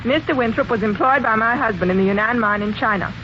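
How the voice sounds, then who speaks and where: A woman's voice: fairly high pitch, fast talker, lightly acted. An actress playing a composed, accusing rich man's wife, formal in manner, in 1940s radio mystery style. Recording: noisy dull 1940s radio recording.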